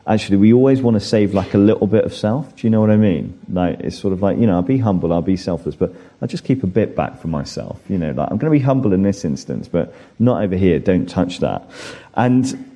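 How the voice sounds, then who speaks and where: Husky dark-voiced guy preaching, earnest, acts out little inner voices. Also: low-pitched, expressive, a little fast, muffled recording. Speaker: pastor. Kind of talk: sermon.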